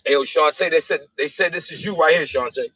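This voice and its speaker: A man bantering loudly on a live stream, taunting and laughing, and calling out over and over. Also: fairly high pitch, quick pace, slightly rough, no echo, low-quality recording. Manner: taunting, laughing, repeats calls of "hey, yo". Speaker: podcast guest.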